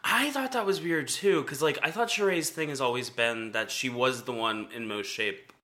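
A man with a naturally high, bright and forceful voice chats through a reality TV recap. He fills his talk with "like" and breaks into laughs often. His tone stays loose and gossipy. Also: normal speed. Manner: chatty, lots of "like", frequent laughs. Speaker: podcast co-host. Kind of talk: casual reality TV recap chat.

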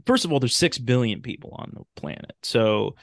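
A man in a casual podcast conversation, talking in a halting, rambling way and often restarting his phrases. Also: medium pitch, very animated, vocal fry, no room sound, clean studio sound.

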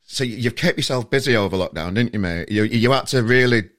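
A man's voice: medium pitch, fairly quick, a bit bright. A man hosting a podcast, chatting casually and rambling with lots of restarts. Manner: rambling, with many restarts and laughs. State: irritable, voice going on him. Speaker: podcast host. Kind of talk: casual chat.

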